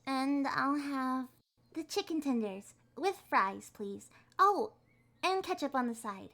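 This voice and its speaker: A woman's voice: high-pitched, animated, takes it slowly, gravelly, a bit bright, full character voice. A woman voicing an adorkable young kitsune in an ASMR roleplay, with a flustered, hesitant, primly formal way of talking. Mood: awkwardly polite. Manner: flustered, hesitant, primly wordy, awkwardly insisting on maturity. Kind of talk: ASMR roleplay, acted one-sided date conversation.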